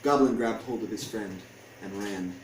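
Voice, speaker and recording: A man's voice: on the low side, normal speed, darkish tone. A man reading a picture book aloud to an audience as its author, in storytelling style. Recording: echoey room, distant mic, faint noise.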